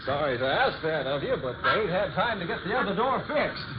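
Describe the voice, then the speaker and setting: An actor playing a folksy, apologetic working-class cab driver in colloquial 1940s radio drama style. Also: male voice, high voice, big pitch swings, brisk. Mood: obliging. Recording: muffled, noisy 1940s radio recording.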